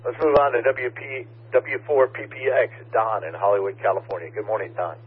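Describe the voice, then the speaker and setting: A hoarse man acting as net controller, briskly thanking callers and calling the next station. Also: medium pitch, steady tone. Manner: brisk, routine call-and-thank pattern. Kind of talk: running a radio check-in net. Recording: narrow radio transmission with hiss.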